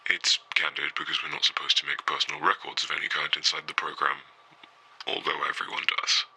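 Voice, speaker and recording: A weary, very deep-voiced ex-soldier. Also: male voice, flat delivery, fairly quick, pushed and bright, slightly rough, exaggerated voice, poor audio. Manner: terse, clipped, tired. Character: ex-soldier man, guarded, weary former super-powered recruit.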